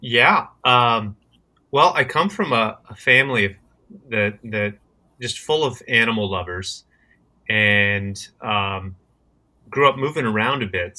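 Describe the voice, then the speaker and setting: Bright-voiced American man, halting speech. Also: on the low side, low-quality recording. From United States. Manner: hesitant, often repeats words while finding his phrasing. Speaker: guest, criminology researcher and conservationist. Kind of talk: casual interview answers.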